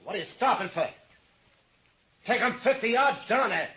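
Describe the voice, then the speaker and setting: An actor playing a nervous, hostile young outlaw in a 1950s radio western, curt and menacing. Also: male voice, sits high, expressive, moderate pace. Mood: barking, nervous. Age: young adult. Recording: dull, faintly noisy radio recording.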